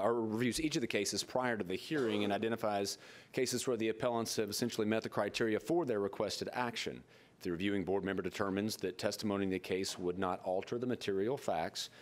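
A middle-aged man speaking formally as a board official at a public meeting, reading procedure into a podium microphone. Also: on the low side. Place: indoor meeting room.

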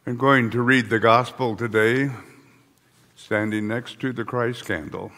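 An older man, a pastor, reading the gospel aloud during a church service in a deliberate liturgical manner. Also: medium pitch, fairly even intonation, pushed and bright. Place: church sanctuary, indoors. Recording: long church hall reverb.

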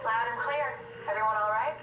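An actress playing a steady woman radio operator at an undersea station in a sci-fi game, echoey audio. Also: on the high side, a little fast, muffled recording, over a noisy crowd, natural voice. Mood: reassuring.

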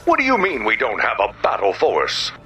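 An actor playing an eager robot who talks like a grandiose, theatrical movie-trailer announcer in a game. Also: male voice, lowish voice, wildly swooping pitch, moderate pace, bright, forceful tone, soft background music, full character voice. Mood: earnest, theatrical.

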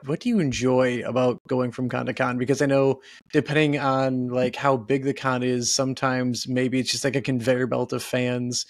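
A man in his thirties hosting a podcast, asking halting interview questions into a studio mic at home. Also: medium pitch, flat delivery, no room sound. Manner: halting, restarts questions, frequent mm-hmms. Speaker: podcast host.

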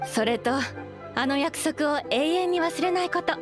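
A woman's voice: high-pitched, unhurried. A woman voicing a composed, sincere young craftswoman in an anime game, with feminine phrasing. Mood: earnest. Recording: faint music behind.

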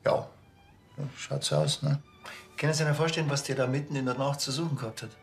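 An actor playing a laconic, deadpan small-town policeman with a Bavarian accent in a TV crime comedy. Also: mid-range voice, fast talker, gravelly, faint music behind, close to an everyday voice. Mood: dry, matter-of-fact. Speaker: police officer.

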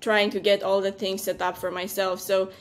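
A woman's voice: medium pitch, level tone, normal speed. A young woman from Romania with a Romanian accent, answering interview questions conversationally as a guest. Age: young adult. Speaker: podcast guest, venture capital partner. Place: room at home with bookshelves.

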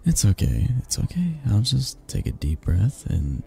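Man playing a dark, husky mafia boss. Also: full character voice. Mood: soothing, restrained. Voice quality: low, dark, husky, breathy. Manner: slow, halting, with sighs and pointed pauses. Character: possessive, menacing young mafia boss, softening into awkward tenderness. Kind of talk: romantic ASMR audio roleplay.

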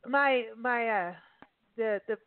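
A woman radio host chatting with her guest, quick to laugh and react. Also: on the high side, dry recording. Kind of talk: casual radio interview chat. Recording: dry, tinny phone line.

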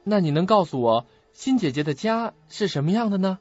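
An actor in an audio drama playing an earnest, puzzled young student who questions and muses to himself. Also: male voice, high voice, lively intonation, a little fast, faint music behind. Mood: puzzled, probing. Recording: muffled lo-fi audio.

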